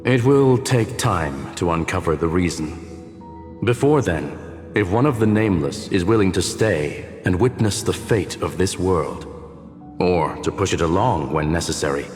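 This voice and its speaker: A man voicing a measured, formal, authoritative character in a video game. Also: deep voice, lively intonation, darkish tone. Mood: calm, formal. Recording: long reverb, faint music behind.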